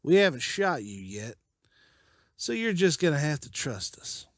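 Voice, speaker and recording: Survivor leader, male actor: commanding and watchful man, lively intonation, close dry sound, comic-book drama. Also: on the high side, unhurried, no room sound. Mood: wary. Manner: decisive, guarded.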